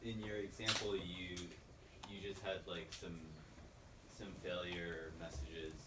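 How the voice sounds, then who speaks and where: A man in the audience asking a casual question in halting, hesitant phrases, picked up from far off. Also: low voice, flat delivery. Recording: distant, echoey, very noisy audience pickup.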